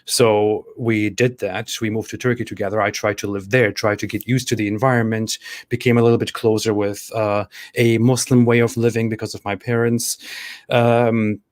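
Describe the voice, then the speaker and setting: A man from Germany, a guest on a video call interview, telling his story in a measured, hesitant way with filler "um"s. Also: fairly low pitch, level tone, dry recording.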